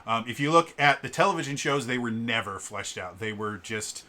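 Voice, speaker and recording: Deep-voiced young man, bright edge, casual reviewer who stammers and fills with "um". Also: fairly even intonation, moderate pace. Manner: stuttering restarts on words, many fillers. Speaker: tabletop RPG reviewer.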